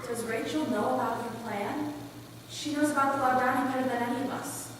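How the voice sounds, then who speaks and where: A woman's voice: sits high, a little fast, distant mic, close to an everyday voice. A woman playing a worried, cautious wife of a missionary pilot in a readers theater piece. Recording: echoey hall from afar, faint noise.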